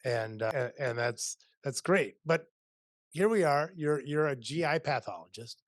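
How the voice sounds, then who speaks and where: A man's voice: mid-range voice, lively intonation, a little slow, no echo, clean studio sound. Upbeat middle-aged male podcast host. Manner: upbeat, rambling with restarts. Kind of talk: video-call interview. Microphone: studio mic on boom, video call.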